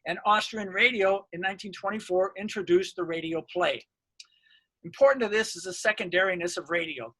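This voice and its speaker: Guy presenting a research paper, pretty high bright voice, dry sound no room. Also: brisk, no room sound, rough recording. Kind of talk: scripted academic presentation, read aloud.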